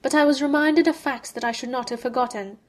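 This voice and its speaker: A woman's voice: high-pitched, steady tone, quick pace, voice pushed up. Woman voicing a sneering proud young wizard, scornful, clipped, storybook reading.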